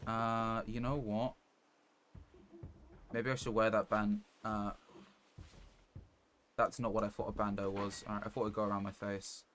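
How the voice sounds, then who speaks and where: A man's voice: lowish voice, little pitch movement, unhurried, long pauses, fairly bright tone. A man doing casual live gameplay commentary as a streamer, thinking aloud as he plays and sometimes swearing. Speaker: game streamer. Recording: clear background noise.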